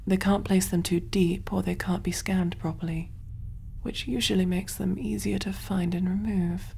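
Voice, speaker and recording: A woman voicing a kind, clinical alien surgeon in a gentle, reassuring ASMR roleplay, soft-spoken and often whispering. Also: mid-range voice, level tone, normal speed, creaky, a little hiss or hum, lightly acted. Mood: calm, focused.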